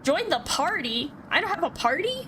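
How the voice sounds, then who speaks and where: High-pitched woman streamer, noisy background. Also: big pitch swings, moderate pace. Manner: playful, theatrical reading. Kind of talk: game let's play, reading dialogue aloud with reactions. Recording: clear background noise.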